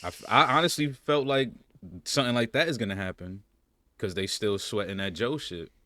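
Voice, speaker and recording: A man's voice: on the low side, brisk, bright, forceful tone, a little hiss or hum. A young man co-hosting a TV reaction, chatting casually, swearing freely and laughing often. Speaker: co-host reacting to a TV series.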